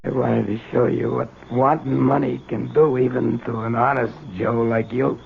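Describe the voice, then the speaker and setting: An actor playing a weak, rough, remorseful old ex-convict father in a radio drama, with labored, uneducated street speech. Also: medium pitch, flat delivery, normal speed. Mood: resigned. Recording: dull, noisy old radio broadcast.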